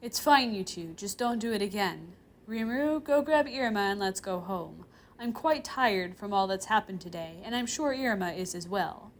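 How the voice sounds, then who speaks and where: A woman's voice: mid-range voice, lively intonation, moderate pace, a little hiss or hum, lightly acted. A woman voicing an old male demon chairman, a doting, easygoing grandfather figure in a story. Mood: tired. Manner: easygoing, playful, commanding when pushed.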